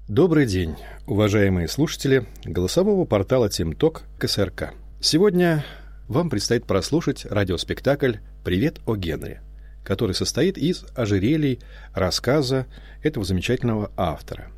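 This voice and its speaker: A man's voice: medium pitch, sing-song, normal speed, a little mellow, slightly rough, no room sound. A man directing a radio theatre, giving a reflective spoken introduction to a radio play, explaining at length with hesitant fillers.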